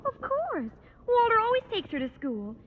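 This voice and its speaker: A woman's voice: extremely high pitch, wildly swooping pitch, moderate pace, exaggerated voice. An actress playing a sweet, earnest, girlish teenage daughter in a 1940s radio sitcom. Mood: earnest, protesting. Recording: muffled, faintly noisy vintage radio recording.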